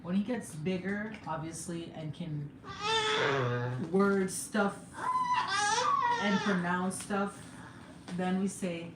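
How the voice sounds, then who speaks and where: A young woman with a Jamaican accent talks casually to her stream viewers, her voice sitting a little low. She laughs easily and sometimes jumps into high, excited exclamations. The sound is echoey, like a bare room at home, with clear background noise underneath. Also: slow, long pauses. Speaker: livestreamer and mother. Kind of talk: casual live chat.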